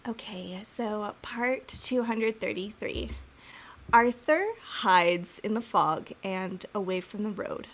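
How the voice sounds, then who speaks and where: A woman's voice: mid-range voice, takes it slowly. A woman co-hosting a podcast, chatting casually with jokes and laughter, then reading a plot summary. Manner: laughing, joking, rambling. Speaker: podcast co-host. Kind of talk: casual chat and episode summary.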